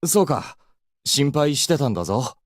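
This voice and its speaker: A woman's voice: very deep voice, big pitch swings, fast talker, dry recording, studio quality, heavily acted. A woman voicing a concerned, plain-spoken homeroom teacher in an anime visual novel. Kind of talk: Japanese visual novel voice acting.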